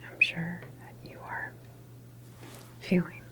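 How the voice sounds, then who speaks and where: A woman playing a calm, reassuring doctor in an ASMR medical roleplay, speaking in a soft, breathy whisper with gentle, hesitant phrasing. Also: slow pace. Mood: soothing, sympathetic. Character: calm, reassuring female doctor at a government facility.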